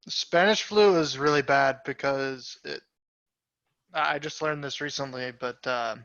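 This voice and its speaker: A man's voice: mid-range voice, unhurried, vocal fry, a bit bright, no room sound. A male student in a casual video call with his class, wry and dryly joking. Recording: dry muffled video call audio.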